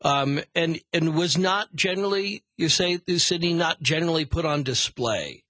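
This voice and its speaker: A man hosting a radio interview, prompting his guest and summarising in measured, broken phrases as he builds questions. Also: a little high, fairly bright tone, dry recording.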